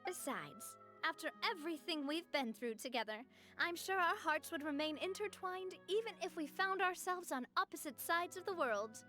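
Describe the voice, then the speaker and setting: An actress playing a cheerful young female gang leader in a game, gracious and lively. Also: very high-pitched, normal speed, hardly any pauses, faint music behind, full character voice. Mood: modest. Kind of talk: game voice acting.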